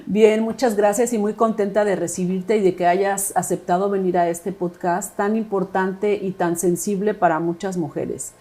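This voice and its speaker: Soft-toned woman hosting a podcast. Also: mid-range voice, fairly even intonation, normal speed, few pauses. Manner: warm, affirming interviewer with many fillers. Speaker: podcast host. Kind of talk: podcast interview.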